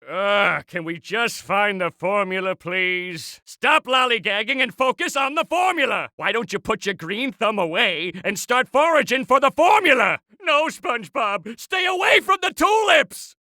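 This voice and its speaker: A tiny one-eyed cartoon villain, with a very sharp, forceful voice pitched high for a male. He is scheming and bitter, swinging through theatrical, sarcastic rants as a video game schemer bent on domination. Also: no room sound, clean studio sound, heavily acted. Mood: dry sarcasm, then exasperated shouting. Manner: theatrical, sarcastic, megalomaniacal ranting.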